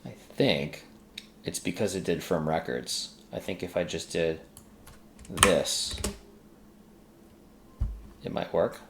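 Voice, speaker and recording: Low creaky voice narrating a coding screencast. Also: takes it slowly, long pauses. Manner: informal, exclamatory asides to self and software. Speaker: tutorial host. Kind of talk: casual screen-recorded coding tutorial, thinking aloud. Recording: faint background noise, keyboard typing.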